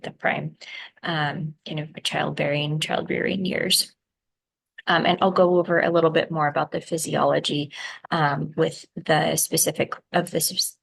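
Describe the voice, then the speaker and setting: A soft-voiced young woman lectures on medicine over a video call, picked up by a webcam mic in a small room. Her voice sits low and carries a gravelly fry, and she talks hesitantly, filling gaps with ums and correcting herself. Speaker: physician presenter.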